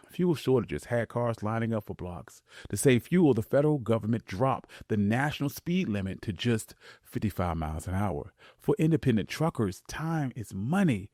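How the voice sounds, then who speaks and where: Guy hosting a history doc, scripted narration, punchy emphatic lines, mid pitch, bit of music behind. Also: darkish tone. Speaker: narrator and host of a history show.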